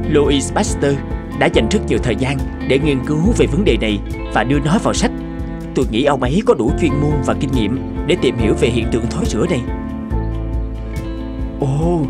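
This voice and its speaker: A man voicing troubled, thoughtful doctors and professors in a cartoon drama, formal and measured. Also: a little high, natural voice. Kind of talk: animated cartoon drama dubbing.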